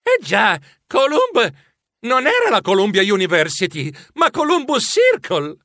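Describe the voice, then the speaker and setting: An actor playing an excitable, anxious mouse who tells his own story in a children's audiobook, dramatic and expressive. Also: high voice, unhurried, dry recording, studio quality, full character voice. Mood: bewildered. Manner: dramatic, expressive, breathless exclamations. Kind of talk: children's audiobook first-person narration.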